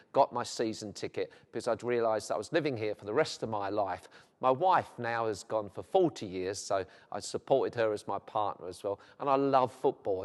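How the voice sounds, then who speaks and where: A middle-aged man with a South London accent, a vicar talking about his life in a documentary interview, chatty and rambling with many fillers. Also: fairly low pitch. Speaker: vicar and football club chaplain. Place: church. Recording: long hall reverb.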